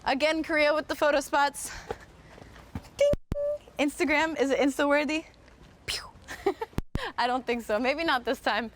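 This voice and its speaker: A woman's voice: sits high, fairly even intonation, a little slow, long pauses, background noise. Chatty upbeat American woman, outdoors. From United States. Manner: chatty and upbeat, thinks aloud with frequent fillers. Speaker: host. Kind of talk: live-streamed walking tour, chatting with viewers. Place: outdoors, walking around a historic site.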